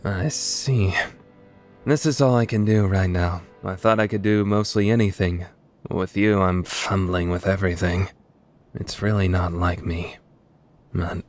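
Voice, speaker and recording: Guy voicing aloof awkward anime game love interest, lowish voice, offhand but secretly kind, faint music behind. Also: fairly even intonation, a little slow, a little mellow. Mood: awkward candour. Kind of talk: dubbed visual novel acting.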